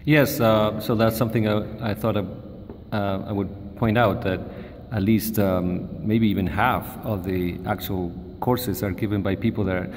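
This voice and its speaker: A man's voice: on the low side, steady tone, measured pace. A man interviewing a colleague, asking questions hesitantly with many fillers, off camera in a large room. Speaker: interviewer, mathematician. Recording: roomy hall reverb, faint hiss.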